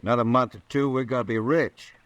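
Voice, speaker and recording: A cold-blooded cowboy schemer acted by a man in an old-time radio western. He talks in a curt, folksy drawl at a middling pitch, with menace under a plain manner. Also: steady tone, fast talker, dry recording, muffled recording, lightly acted. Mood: sly, gruff.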